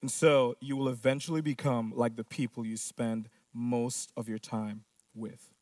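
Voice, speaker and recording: A man's voice: mid-range voice, little pitch movement, measured pace, darkish tone, dry recording, far from the mic. A pastor preaching a sermon, driving his points home with repeated phrases and rhetorical questions.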